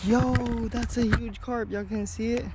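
Guy fishing vlog outside, high voice, slow excitable slang talk, noisy action cam audio. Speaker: fishing vlogger. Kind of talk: casual outdoor vlog chat. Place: outdoors beside a pond. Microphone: body-worn action camera.

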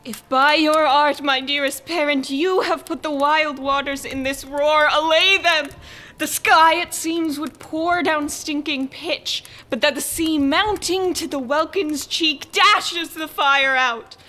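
Young woman as innocent, tender-hearted daughter, high bright voice, unhurried pleading radio-drama delivery. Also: fairly even intonation, heavily acted. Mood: distressed, pleading. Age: young adult. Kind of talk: radio play Shakespeare acting.

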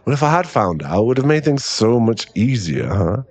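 A man playing a drunk, clingy, lovesick boyfriend in an intimate ASMR roleplay, with halting, pleading speech and a husky, gravelly voice. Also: mid-range voice, big pitch swings, darkish tone. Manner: tipsy, halting, drawn-out, pleading delivery.

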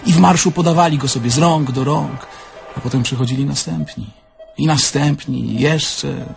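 An actor playing a naive, candid young Polish car thief in an audio drama, talking in a plain, conversational way. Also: male voice, medium pitch, normal speed, gravelly, soft background music, lightly acted. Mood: wry reminiscing. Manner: conversational, plain-spoken, reminiscing.

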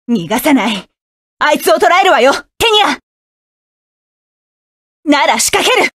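A fierce high-voiced mecha pilot. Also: female voice, a bit bright, dry recording, clean studio sound, heavily acted. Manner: commanding, clipped battle calls. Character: fierce young woman mecha pilot, vengeful and resolute. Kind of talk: video game combat voice lines.

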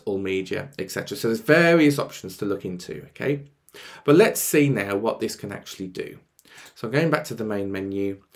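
A man in his thirties with a slightly croaky voice, presenting a casual on-screen tutorial and thinking aloud as he goes. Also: fairly low pitch. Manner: explanatory, thinking aloud as he clicks. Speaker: presenter of music tech tutorials. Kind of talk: casual screen-share walkthrough.

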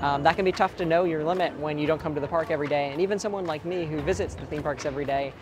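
A young man in his twenties, hoarse from screaming on a ride, giving casual theme park tips to camera outdoors with lots of ums. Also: fairly high pitch, very fast. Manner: chatty, frequent ums and uhs. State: hoarse, voice cracking. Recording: outdoor crowd and ride noise behind.

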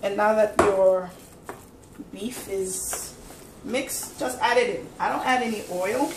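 A woman's voice: medium pitch, slow pace. Chatty woman cooking in an echoey kitchen. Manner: chatty, instructive, talks while cooking. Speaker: cooking channel host. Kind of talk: casual cooking demonstration. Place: home kitchen, indoors. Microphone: camera mic from across the kitchen. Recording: echoey kitchen, distant mic, background noise.

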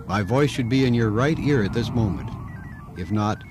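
Low-voiced man guiding a meditation. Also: over music. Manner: calm, deliberate, instructing with pauses. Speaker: guided meditation narrator. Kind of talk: scripted guided meditation.

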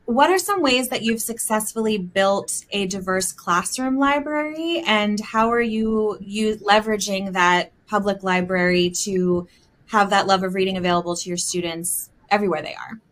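A woman's voice: medium pitch, moderate pace, a bit bright. A woman moderating a panel on a video call from a room at home, asking questions and giving brief affirmations. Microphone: webcam on video call.

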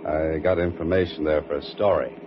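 A deep-voiced, wisecracking writer. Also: male voice, steady tone, fairly quick, background noise. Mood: wry. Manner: dry, wisecracking. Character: wry male mystery writer on the run. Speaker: lead actor and narrator. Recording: dull old radio recording with music.

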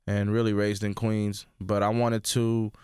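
A young man with a New York accent, originally from Sudan, talking casually as an interview guest in a radio studio. He speaks in a relaxed way and repeats words as he thinks. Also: fairly low pitch, measured pace, clean tone, dry recording. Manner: laid-back, repeats words while thinking. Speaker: rapper guest. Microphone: studio mic.

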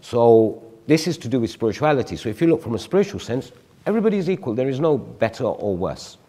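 A man's voice: medium pitch, big pitch swings, quick pace, mellow, long reverb tail. A man in his forties, a guest on a studio panel discussion, explaining his view reflectively with examples. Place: TV studio stage.

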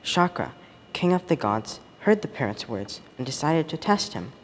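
A teenage male reads a scripted story with measured, expressive phrasing. His voice rings in a reverberant room, with faint noise behind it. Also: fairly high pitch. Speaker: student story reader. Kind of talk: scripted storytelling read aloud.

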